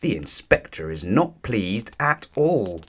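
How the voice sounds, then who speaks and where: A man's voice: lowish voice, very animated, takes it slowly, a bit bright, slight background noise, full character voice. A man voicing a stern, bossy middle-aged male railway controller in a children's storybook, speaking with firm authority. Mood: cross.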